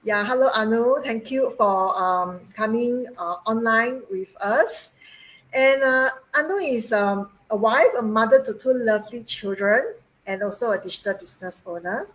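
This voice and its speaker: A woman from Singapore in her forties hosts an online interview. She sounds upbeat and effusive, often pausing with "uh" and "um" between phrases. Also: on the high side, low-quality recording. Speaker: host. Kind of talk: live-stream interview.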